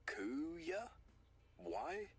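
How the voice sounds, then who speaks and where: A woman voicing a blunt, cheeky younger man in a visual novel, with a low, gravelly, husky voice and offhand manner. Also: very animated, very slow, exaggerated voice. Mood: blunt teasing.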